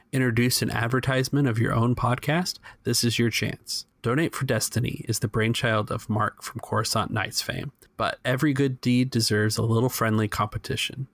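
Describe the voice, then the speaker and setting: A man's voice: medium pitch, dry recording. A man hosting a podcast and narrating a tabletop role-playing game as game master.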